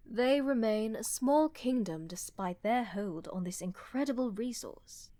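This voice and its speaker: A woman playing a smug, condescending older empress in a fantasy audio roleplay, with measured, deliberate delivery. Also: medium pitch, a little mellow, dry recording. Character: haughty, older, condescending female ruler of a vast empire.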